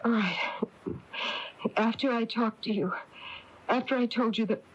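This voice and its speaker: Anxious, flustered small-town wife, muffled radio. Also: female voice, on the high side. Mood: flustered, confiding. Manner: halting, breathless, flustered delivery. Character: anxious, fretful small-town married woman, rambling and confiding. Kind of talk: 1980s radio drama acting. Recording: dull lo-fi AM radio tape.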